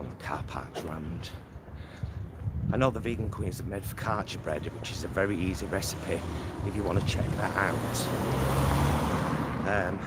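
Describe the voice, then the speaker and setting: A man chatting casually in a rambling walking vlog, thinking aloud as he films himself outdoors. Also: fairly low pitch. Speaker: vlogger. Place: outdoors, walking near a supermarket and roads. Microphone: handheld camera in selfie mode. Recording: loud outdoor street and traffic noise.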